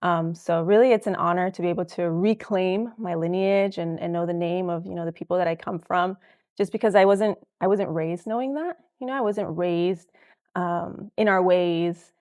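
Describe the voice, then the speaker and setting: A woman from El Salvador, a guest herbalist, answering interview questions in an earnest, thoughtful way with many soft fillers. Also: on the low side, moderate pace.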